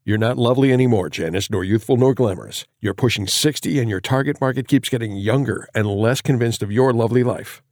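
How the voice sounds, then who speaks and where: An actor playing a blunt, ambitious corporate executive in a campy audio drama, confident and confrontational. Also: male voice, medium pitch, brisk, hardly any pauses, a little mellow, no room sound, clean studio sound, natural voice.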